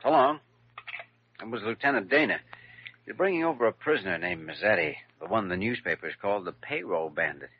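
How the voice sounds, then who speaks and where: A man's voice: on the low side, animated, fairly quick, slightly rough. An American man acting a dedicated, courteous young hospital doctor in an old-time radio drama. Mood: businesslike. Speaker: lead actor in a radio drama. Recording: dull vintage radio recording with music.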